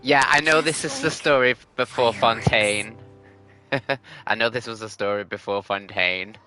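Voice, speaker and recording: A man's voice: fairly low pitch, steady tone, measured pace, bright, forceful tone. A man livestreaming a video game, reacting casually as he plays. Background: game music.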